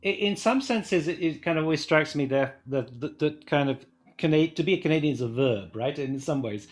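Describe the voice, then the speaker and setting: A man's voice: a little high, fairly quick. A man with a British accent making a hesitant, stumbling comment in an academic panel discussion. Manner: hesitant, stumbling over words, casual phrasing.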